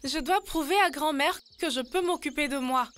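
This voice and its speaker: A woman voicing an earnest, expressive young girl anxious to prove herself in a children's cartoon dub. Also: high voice, normal speed, faint music behind. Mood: worried, earnest.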